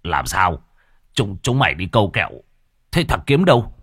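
A man doing a gruff older village father. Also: low voice, very animated, moderate pace, fairly bright tone, no echo, studio quality, exaggerated voice.

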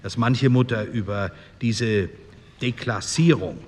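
A man playing an earnest, pious and compassionate parliamentarian, giving a solemn, measured speech. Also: mid-range voice, natural voice. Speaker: actor in a documentary radio play. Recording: echoey hall with background noise.

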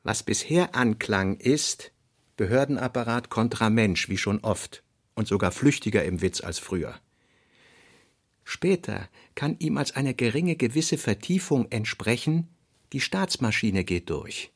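A man's voice: medium pitch, animated, measured pace, no echo, clean studio sound. A man reading scripted literary narration for a radio play.

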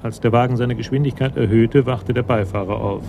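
An actor serving as the composed narrator of a German radio crime drama, reading scripted prose. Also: male voice, mid-range voice, fairly even intonation, fairly quick, vocal fry, noisy background, natural voice. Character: composed storyteller of a police tale.

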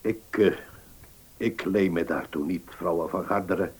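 Radio drama: upright old notary, deep and slow, solemnly indignant, frail in delivery. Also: male voice, a little mellow, husky, full character voice. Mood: indignant, faint. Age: older. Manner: halting, solemn. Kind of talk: Dutch radio play acting.

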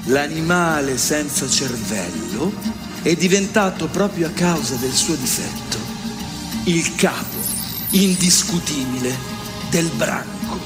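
A man giving an expressive, pausing dramatic reading of an essay on a radio show. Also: a little high. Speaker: reader. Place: radio studio. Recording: radio broadcast with music behind.

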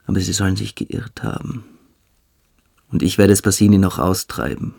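A man playing a calculating, cruel young military-school cadet in a German radio drama, speaking with deliberate, coolly superior menace. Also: sits low, steady tone, creaky, a little mellow. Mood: contemptuous, confiding.

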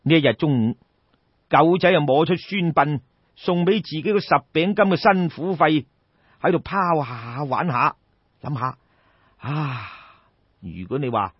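A man's voice: on the high side, lively intonation, unhurried, breathy edge, no room sound, lo-fi sound. A man with a Cantonese accent telling a story in a colloquial, theatrical traditional oral style, addressing listeners directly. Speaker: storyteller, audiobook narrator.

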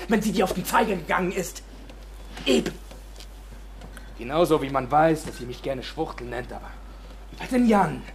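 A bitter, defiant young villager. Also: male voice, a little high. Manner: sarcastic, halting, emphatic with abrupt swings. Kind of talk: radio drama monologue.

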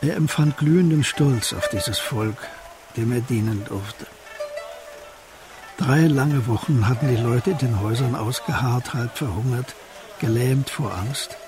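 A man narrating a radio drama as a scripted literary story, in a composed and deliberate manner. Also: mid-range voice, over music, close to an everyday voice.

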